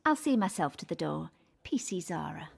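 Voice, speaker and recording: A woman's voice: fairly low pitch, very animated, normal speed, gravelly, exaggerated voice. An actress playing a pompous, pedantic forensics woman in an audio drama, speaking in a precise, formal way. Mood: prim. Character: pompous, pedantic, self-important female police forensics colleague.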